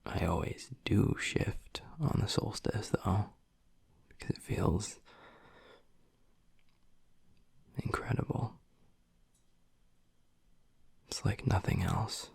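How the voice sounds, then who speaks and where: A man playing a tender, gruff young werewolf boyfriend in an intimate audio roleplay, speaking in a hushed, husky, earnest way close to the mic. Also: no echo, whispered, full character voice. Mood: earnest, reverent. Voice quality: low, gravelly, husky, breathy. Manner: hushed, slow, earnest, halting. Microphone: close, dry microphone.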